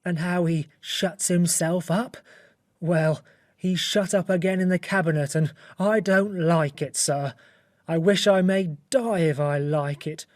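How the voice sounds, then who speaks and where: A man's voice: high voice, measured pace, darkish tone, dry recording. Man as an anxious, deferential butler, dry sound. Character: anxious, deferential old butler, frightened and dogged. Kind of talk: dramatic audiobook reading.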